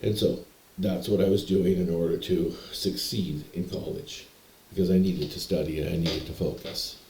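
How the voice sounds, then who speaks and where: Man, very deep voice, mellow, rambling storyteller, echoey room. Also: steady tone, normal speed, a little hiss or hum. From Canada. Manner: meandering, hesitant, repeats words and restarts. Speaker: vlogger telling personal stories.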